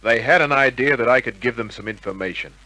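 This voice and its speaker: An actor playing a wisecracking, cool private detective in old-time radio crime drama, with a wry, clipped delivery. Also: male voice, medium pitch, fast talker, sharp tone, no room sound, close to an everyday voice, rough recording. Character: wisecracking hard-boiled male private detective, cool under pressure.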